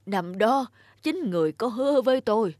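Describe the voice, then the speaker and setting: A woman's voice: a little high, animated, moderate pace, darkish tone, no echo, clean studio sound. A woman voicing a frail, anxious old grandfather in a folk-tale cartoon, with hesitant, fearful delivery. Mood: frightened pleading.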